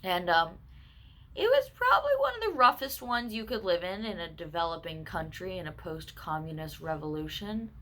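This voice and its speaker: A woman in her twenties telling a personal story in a casual, candid vlog, full of quick asides and self-corrections. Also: fairly low pitch, very animated, pushed and bright. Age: 20s. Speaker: vlogger.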